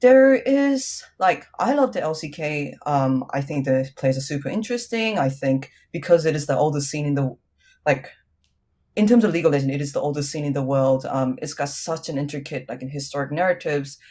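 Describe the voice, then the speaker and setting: A woman with a New Zealand accent, the guest in a casual interview, talking with many hesitant ums and lively rises in pitch. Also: fairly quick.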